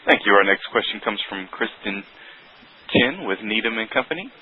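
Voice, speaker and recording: A man's voice: fairly low pitch, animated, moderate pace. A man working as conference operator, formally announcing the next caller. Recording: noisy, narrow telephone line.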